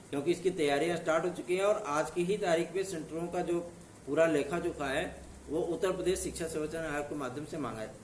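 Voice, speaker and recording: A man with a hoarse, sore throat talks in an earnest, reassuring way, often checking whether his listeners follow. His voice sits a little high for a man. He is some way off the mic in an echoey room, with faint noise behind him. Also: distant mic. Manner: earnest, reassuring, with repeated tag questions. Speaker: exam news channel host. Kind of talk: casual direct-to-camera update.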